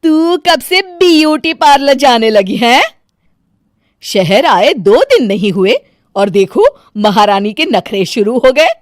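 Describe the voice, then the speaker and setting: A woman's voice: a little high, big pitch swings, takes it slowly, no echo, clean studio sound. A woman playing a middle-aged mother-in-law in a moral story, doting yet easily offended, with an emphatic, exclaiming manner. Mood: offended, scolding. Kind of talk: dramatised Hindi moral story.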